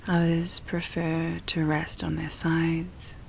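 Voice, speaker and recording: A woman guiding a relaxation meditation in a soft, breathy, often near-whispered voice, soothing and full of long pauses. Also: low-pitched, monotone, slight background noise. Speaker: yoga nidra meditation guide.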